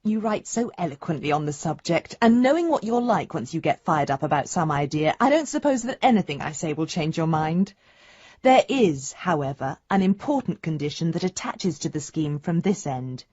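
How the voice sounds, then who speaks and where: A woman's voice: deep voice, lively intonation, normal speed, slightly rough, no room sound, dull audio. An actress playing a concerned, affectionate grown daughter in a radio drama, chattily reading out a letter to her father. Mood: fond concern. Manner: chatty, gently questioning.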